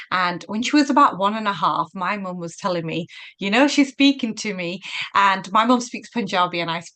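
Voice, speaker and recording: A bright, clear woman talks about her new book in a relaxed, chatty way. She laughs easily and pads her sentences with fillers as she tells the story behind it. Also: medium pitch. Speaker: author. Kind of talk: casual virtual book launch chat.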